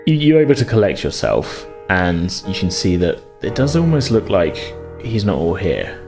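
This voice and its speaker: A man's voice: sits low, very animated, moderate pace, over music. A man narrating a tabletop horror game as game master, phrasing things hesitantly as he improvises.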